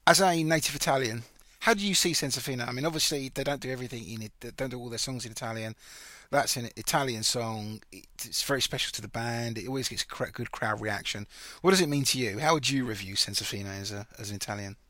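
A man's voice: mid-range voice, fast talker, bright, forceful tone, dry recording. A man from England hosting a podcast, interviewing his guest casually and asking follow-up questions. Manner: engaged, asks follow-up questions. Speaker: podcast host.

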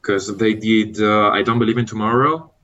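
A very low-voiced man whose first language is Italian talks casually as a guest fan. He speaks in halting English, pausing often to search for words. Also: steady tone, bright, forceful tone, lo-fi sound. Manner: hesitant, many "uh" fillers. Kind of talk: casual podcast chat.

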